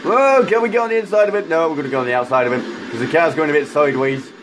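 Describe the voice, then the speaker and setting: A man with a croaky voice, chatting excitedly through a racing game as a gaming YouTuber, rambling with fillers. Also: on the high side, racing, background noise. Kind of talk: casual racing game commentary.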